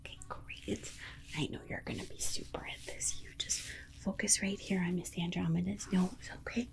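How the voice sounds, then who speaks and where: A woman's voice: fairly low pitch, fairly even intonation, moderate pace, vocal fry. A woman voicing a soothing, condescending female alien scientist in a whispered ASMR exam roleplay, coddling and reassuring with murmured asides.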